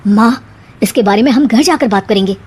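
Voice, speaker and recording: A woman's voice: on the high side, moderate pace, darkish tone, slightly rough. A woman voicing a placating, flustered young husband in a cartoon story, pleading and soothing. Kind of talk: animated moral story acting.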